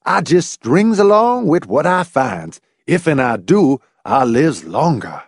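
A man's voice: medium pitch, very animated, moderate pace, dry recording, clean studio sound, pitched above the performer's own voice. A man voicing a defensive, uneasy character with a mocking edge in an audiobook. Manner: husky, half-apologetic or mocking.

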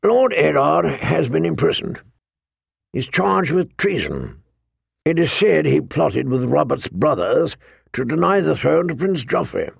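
A man narrating an audiobook, voicing a gruff, grumbling, weary old lord commander with a growling, rumbling voice. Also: very animated, measured pace, no echo, studio quality, full character voice. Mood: grave, measured. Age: older.

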